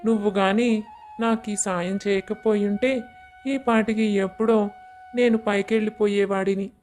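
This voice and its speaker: A woman's voice: mid-range voice, fairly even intonation, moderate pace, darkish tone, faint music behind, lightly acted. Humble, sorrowful old man voiced by a woman. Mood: grateful, sorrowful. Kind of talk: animated moral story dialogue.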